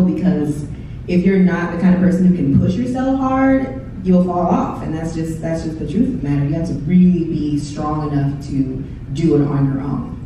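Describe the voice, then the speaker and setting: A woman's voice: lowish voice, dark tone, slight background noise. A young woman from Texas on a panel, talking casually and openly about her work into a handheld mic and quoting her own thoughts. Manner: chatty, candid, quotes her own thoughts. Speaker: YouTuber on a panel. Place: large hall, indoors. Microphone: handheld mic, heard from a far camera. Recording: echoey hall from far off, dull.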